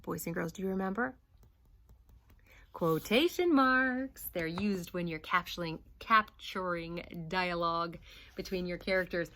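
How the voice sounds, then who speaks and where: Animated, slow-paced woman teacher reading aloud. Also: mid-range voice. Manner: animated storybook reading with teacherly questions to the class. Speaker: first grade teacher. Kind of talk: reading a picture book aloud to young children.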